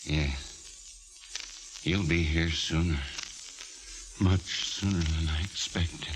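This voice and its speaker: An actor playing a grandiose, unstable composer in a theatrical 1940s radio drama style, with rhapsodic delivery and dramatic pauses. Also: male voice, rumbling low, steady tone, poor audio. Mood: resigned, bitter. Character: grandiose, unstable male composer, feverish and doomed. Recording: old 1940s radio recording, music behind.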